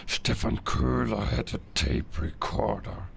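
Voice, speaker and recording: A man playing a menacing werewolf villain in an audio roleplay, cold and taunting, with a gravelly, growling voice. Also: lowish voice, expressive, a little hiss or hum, full character voice. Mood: taunting menace. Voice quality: gravelly, growling, breathy at times. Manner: slow, deliberate, taunting menace. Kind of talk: cinematic audio roleplay acting.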